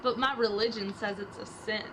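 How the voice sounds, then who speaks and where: A woman's voice: medium pitch, steady tone, normal speed, noisy background. A young woman doing a dramatic reading of rap lyrics as a student project, trading rhythmic lines with a partner. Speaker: student reader.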